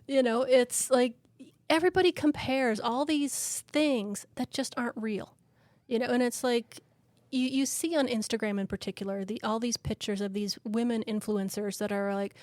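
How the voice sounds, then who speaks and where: A woman from Canada, co-hosting a podcast and chatting in an animated, storytelling way with frequent laughter. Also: a little high, normal speed, no echo. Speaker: podcast co-host. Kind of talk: casual chat.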